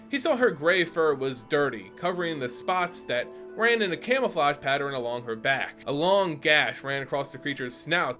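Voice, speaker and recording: A man's voice: a little high, fairly bright tone. A man giving a theatrical, emphatic dramatic reading of a fantasy story as a storyteller.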